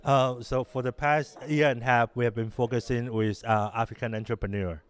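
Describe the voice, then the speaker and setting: Halting middle-aged man from Taiwan. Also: mid-range voice, fairly even intonation, clean tone. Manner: halting, many restarts and fillers. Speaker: interviewed innovation lab director. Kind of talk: conversational interview answers.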